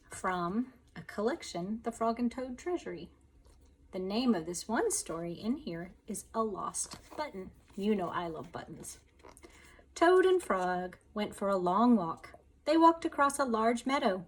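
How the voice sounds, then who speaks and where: A woman's voice: on the low side, slight background noise. A woman teacher reading a children's story aloud, expressively, speaking directly to her young listeners.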